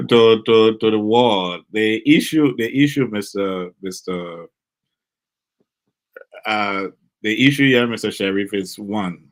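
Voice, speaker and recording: A man speaking as an academic panelist on a video call, hesitant, restarting often with small chuckles. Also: lowish voice. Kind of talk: panel discussion.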